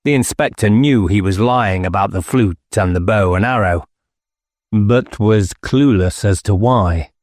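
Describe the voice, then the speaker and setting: Slow, low-voiced male audiobook narrator. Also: darkish tone, no echo, clean studio sound. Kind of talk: scripted literary narration of a suspense novel.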